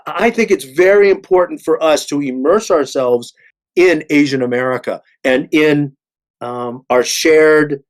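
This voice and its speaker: A man of sixty speaking earnestly in a panel discussion, halting and repeating words for emphasis. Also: mid-range voice. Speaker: actor on a panel.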